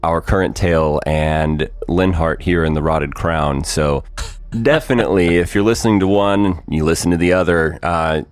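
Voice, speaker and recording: A man's voice: low-pitched, moderate pace, dry recording, soft background music. A man co-hosting a podcast, upbeat and laughing often as he chats and reads promos. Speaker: co-host. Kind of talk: casual podcast chat.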